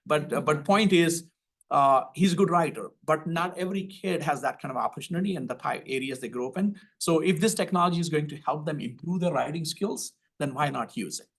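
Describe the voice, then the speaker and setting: A man's voice: a little high, a little fast, fairly bright tone, husky. An older man with an Indian accent, a panel expert answering audience questions earnestly, often restarting his sentences. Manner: earnest, repeats words when starting, personal anecdotes.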